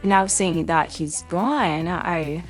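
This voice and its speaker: A woman playing a scheming, falsely sweet gold-digging widow in a roleplay drama, coy and smug with drawn-out mock sympathy. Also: lowish voice, soft background music. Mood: coy, menacing.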